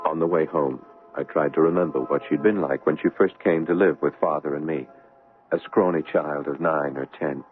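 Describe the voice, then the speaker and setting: Casting: earnest young doctor haunted by lost love; low voice, dramatic delivery, muffled old radio broadcast. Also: male voice, steady tone, soft background music. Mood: wistful, haunted. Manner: wistful, deliberate, dramatic. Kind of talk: 1970s radio drama acting, intimate narration and dialogue.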